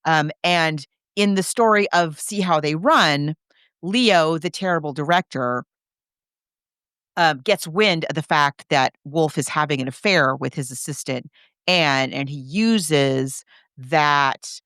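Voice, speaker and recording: A woman podcast host with a slightly low, smooth voice and a very dry, close sound. She chats casually and enthusiastically, leaning on her words for emphasis. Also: takes it slowly, a bit bright, dry recording. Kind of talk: casual film discussion.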